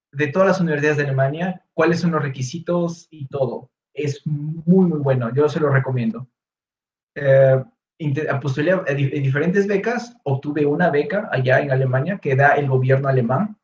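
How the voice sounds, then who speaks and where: A young man with a Peruvian Spanish accent talks through audience questions at an easy pace, often restarting his sentences. His voice sits a little high for a man. The sound is roomy and dull, like a call from a bare room. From Peru. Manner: relaxed, explanatory, with many fillers and restarts. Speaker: guest speaker, researcher. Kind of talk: Q&A answers in an online talk. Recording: echoey room, dull lo-fi audio.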